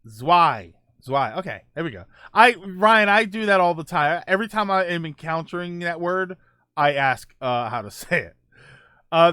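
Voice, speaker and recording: A man with a slightly raised pitch talks casually as a podcast host, stopping often to restart his sentences. His voice sits close and dry, with no room sound around it. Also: pushed and bright, no room sound. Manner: halting, many ums and restarts, reads tweets aloud. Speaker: podcast host reading viewer topics. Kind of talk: casual solo podcast chat.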